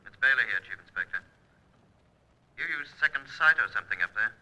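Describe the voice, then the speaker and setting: An actor playing a plain-spoken police inspector on the telephone in an old-time radio drama, clipped and official. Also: male voice, fairly low pitch, expressive, brisk, pushed and bright. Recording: narrow, dull old radio telephone sound.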